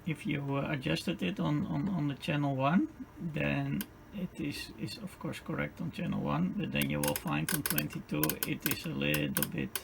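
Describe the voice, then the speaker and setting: Halting man with very creaky, higher-pitched voice. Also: lively intonation. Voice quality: creaky, gravelly voice. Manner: halting, piecemeal explaining with repeated phrases. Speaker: radio repair tutorial host. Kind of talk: unscripted hands-on technical demonstration. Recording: clearly noisy home-made video sound.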